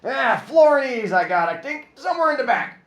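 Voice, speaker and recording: A man voicing a cranky old male bookseller with a thick accent who haggles and shouts. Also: extremely high pitch, very animated, a little fast, echoey room, pitched above the performer's own voice, heavily acted. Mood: gruff.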